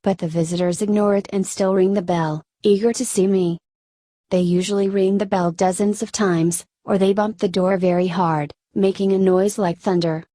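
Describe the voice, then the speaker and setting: A woman's voice: mid-range voice, steady tone, moderate pace, mellow, no echo, studio quality. A woman reading a scripted first-person narration of a Buddhist story.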